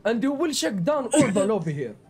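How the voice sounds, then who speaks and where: A man's voice: very high-pitched, huge pitch swings, fairly quick, music in the background, full character voice. A man in a game's voice chat, putting on a boastful vodka-loving Russian comrade with exaggerated broken English. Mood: insistent. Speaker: gamer in voice chat.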